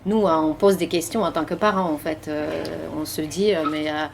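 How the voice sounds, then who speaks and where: A woman's voice: low-pitched, normal speed, a little hiss or hum. A woman in her forties from Finland with a Finnish accent, speaking French as a second language, answering questions at a library talk with many fillers and restarts. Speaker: interviewed author and journalist. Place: library meeting room.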